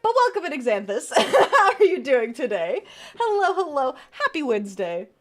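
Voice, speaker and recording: Woman, VTuber streamer, high and bouncy voice, chatty and laughing, casual tarot readings, faint music underneath. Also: big pitch swings, moderate pace. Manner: chatty, answers chat aloud, laughs often, trails off and restarts.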